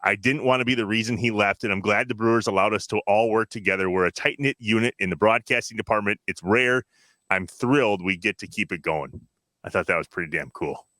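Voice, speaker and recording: A man's voice: fairly low pitch, fairly quick, sharp tone, dry recording. A man hosting a podcast in a casual, informal and breezy way. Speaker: podcast host.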